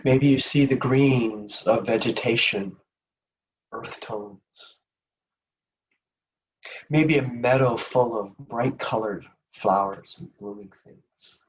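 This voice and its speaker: A man leading a guided meditation in a gentle, soothing, unhurried manner with long pauses between phrases. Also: medium pitch, far from the mic, muffled recording.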